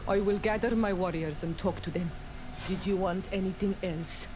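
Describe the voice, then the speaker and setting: A woman playing a proud, solemn young island clan warrior leader in a fantasy video game, speaking gravely and deliberately. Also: medium pitch, fairly even intonation, background noise, lightly acted. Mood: grave, weary. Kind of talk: scripted video game dialogue acting.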